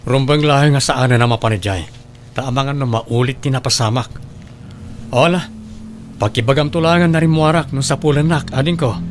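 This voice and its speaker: A man's voice: medium pitch, fairly quick, close to an everyday voice. A man with a Filipino Ilocano accent playing an easygoing, considerate young man in an audio drama. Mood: casual. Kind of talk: Ilocano radio drama acting.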